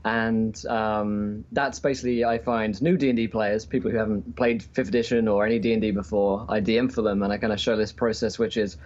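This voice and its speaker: A man chatting casually as a podcast guest and game streamer, with hesitant, halting phrasing, calling in remotely. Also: fairly low pitch, steady tone, darkish tone. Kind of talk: casual interview chat. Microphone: remote call-in. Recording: dull, lo-fi call audio.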